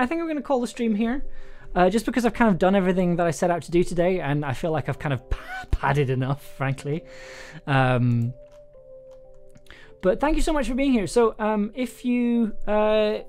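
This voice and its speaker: A man's voice: fairly high pitch, a little mellow. A young man hosting a casual livestream, sounding tired, rambling and often interrupting himself as he thinks aloud. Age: young adult. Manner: rambling, hesitant, self-interrupting. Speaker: livestream host, audio drama maker. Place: small indoor room at a desk.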